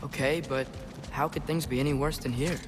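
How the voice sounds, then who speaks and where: A young actor playing an earnest teenage warrior's son, inquisitive and candid. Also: male voice, medium pitch, fairly even intonation, fairly quick, lightly acted. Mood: uneasy, questioning. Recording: clear background noise behind voice.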